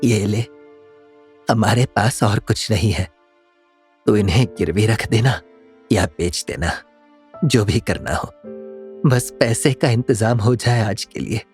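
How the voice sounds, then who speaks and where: A man's voice: fairly low pitch, slow pace, pauses often, darkish tone, faint music behind. A man voicing a distressed, worn-out middle-aged aunty in an audio drama, pleading and reproachful. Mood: weary, broken. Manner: pleading, plaintive scolding. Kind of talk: Hindi audio drama acting.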